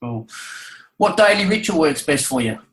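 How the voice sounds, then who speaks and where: A man's voice: a little high, very animated, moderate pace, a bit bright. A man interviewing casually, complimentary and a little hesitant as he phrases things. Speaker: guest interviewer. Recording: roomy, echoing sound.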